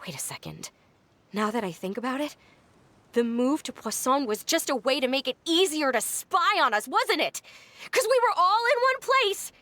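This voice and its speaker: A woman's voice: high voice, sing-song, moderate pace, bright, forceful tone, dry recording, full character voice. A woman voicing a defiant young woman accused of betrayal in a game. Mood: bitter. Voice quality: defiant, bitter. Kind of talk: game voice acting.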